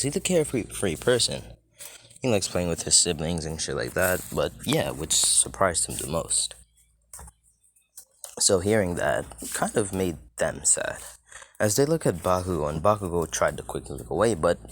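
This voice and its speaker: A man's voice: low voice, fairly bright tone. A man narrating a fan-made story in a loose, chatty way, swearing casually and drifting into side remarks, with a scratchy voice.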